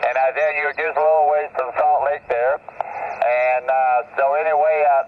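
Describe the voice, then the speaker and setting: A man heard over amateur radio, running a net in a halting, folksy, repetitive way. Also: fairly high pitch, flat delivery. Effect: shortwave radio transmission. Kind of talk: amateur radio contact talk. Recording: dull, hissy single-sideband radio reception.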